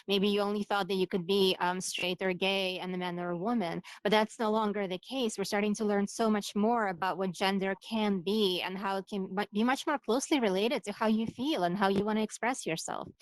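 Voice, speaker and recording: A woman's voice: medium pitch, smooth, no room sound, poor audio. A woman from Ukraine with a slight Ukrainian accent, a co-founder giving a conversational talk full of ums and likes. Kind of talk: presentation talk.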